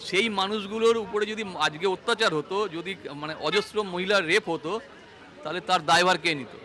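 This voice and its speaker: A man with a West Bengal accent making a halting, earnest spontaneous statement to the press. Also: high-pitched, sharp tone. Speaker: complainant speaking to press. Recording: outdoors with faint crowd behind.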